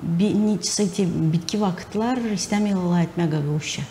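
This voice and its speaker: A middle-aged woman answering interview questions haltingly, searching for words. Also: lowish voice, mellow. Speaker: interview guest, film studio director. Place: TV talk studio. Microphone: lapel. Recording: faint background noise.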